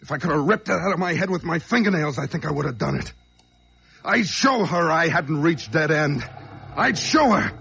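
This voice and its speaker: An actor playing a desperate, whining small-time crook on the run in an old radio crime drama, stammering, pleading and intense. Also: male voice, on the high side, animated, normal speed, vocal fry, bright, forceful tone, highs cut off, heavily acted. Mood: frantic. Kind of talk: old radio crime drama, dialogue and first-person narration. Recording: noisy vintage radio drama recording.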